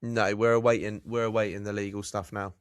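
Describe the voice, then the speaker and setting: A British man co-hosting a business podcast, blunt and quick, swearing and checking back with "right?". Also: on the low side, flat delivery, smooth, no echo, clean studio sound. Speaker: podcast co-host. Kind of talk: casual business chat.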